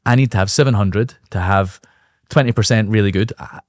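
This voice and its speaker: A man in his thirties speaks as a guest in a casual business interview, quick and upbeat, often restarting his sentences as he thinks aloud. His voice sits a little low and moves along at a brisk pace. The sound is dry and close, with no room around it. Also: dry recording. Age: 30s. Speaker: guest, real estate brokerage owner.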